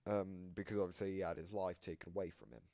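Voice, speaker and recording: A man's voice: deep voice, steady tone, quick pace, warm tone, dry recording. A man giving casual explanatory commentary as host of a reaction video, often restating his point.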